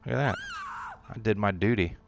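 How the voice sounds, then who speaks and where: A man's voice: on the low side, a little fast, noisy background. A man giving rambling, self-deprecating commentary while streaming a video game. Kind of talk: casual gameplay commentary.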